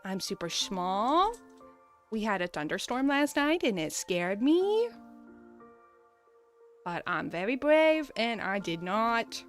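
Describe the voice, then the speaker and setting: A woman speaks as a precious little baby animal, small and brave, with a cutesy, babyish delivery. It sounds like a proud pet introducing itself, unhurried and sweet, with music behind it. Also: medium pitch, sing-song.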